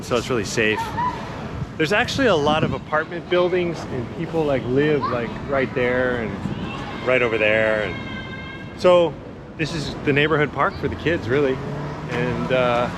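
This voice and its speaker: A man giving a casual walking tour as a vlogger, chatty and laid-back but enthusiastic, talking into a handheld action camera outdoors. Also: mid-range voice. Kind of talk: casual chatty walk-and-talk narration. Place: outdoors in a busy city park. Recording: outdoor action camera with clear city noise.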